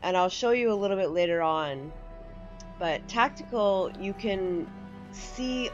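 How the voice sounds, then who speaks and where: A woman's voice: medium pitch, expressive, a little slow, dull audio. A woman giving a casual, conversational walkthrough of a video game as its host, explaining things as she goes. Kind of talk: casual game tutorial commentary. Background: game music.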